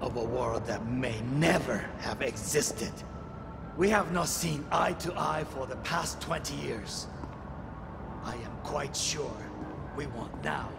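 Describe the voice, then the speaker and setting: An actor playing a haughty, bitter middle-aged corporate heir in a video game, with formal, measured diction. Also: male voice, medium pitch, over loud music. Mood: bitter, contemptuous. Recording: long reverb, clear background noise.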